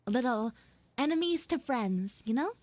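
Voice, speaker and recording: A woman's voice: fairly high pitch, lively intonation, normal speed, a little mellow, no room sound, lightly acted. A woman playing a prickly, defensive schoolgirl in a live audio roleplay, stammering and dramatic as she grumbles and pleads. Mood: awkward, conciliatory.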